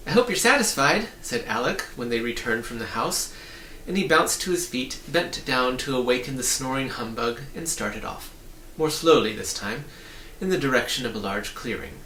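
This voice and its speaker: A man reading a children's story aloud as an animated narrator. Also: mid-range voice, normal speed. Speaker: audiobook reader. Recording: roomy, distant mic, faint noise.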